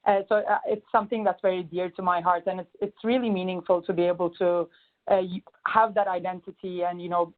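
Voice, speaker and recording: A woman's voice: on the low side, steady tone, brisk. A young woman from Turkey with a Turkish accent, chatting through a webinar self-introduction with fillers. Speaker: consultant. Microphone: conference call line. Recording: phone-line webinar audio.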